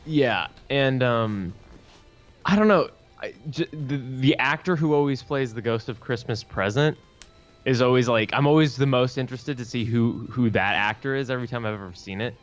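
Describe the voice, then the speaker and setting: A man with a medium-pitched voice chats casually as a podcast co-host. The recording is dull and lo-fi, with music playing behind him. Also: normal speed. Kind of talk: casual chat.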